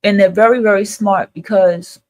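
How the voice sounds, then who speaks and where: A woman's voice: medium pitch, fairly even intonation, moderate pace, a little mellow, a little hiss or hum. A woman host talking casually and candidly to her listeners in an emphatic, repetitive, motivational monologue, swearing freely. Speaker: host of a spiritual advice livestream.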